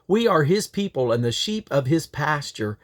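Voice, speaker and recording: A man's voice: fairly high pitch, very animated, normal speed. A man reading scripture aloud in a solemn, declamatory way, with the occasional slip and correction. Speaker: Bible reader.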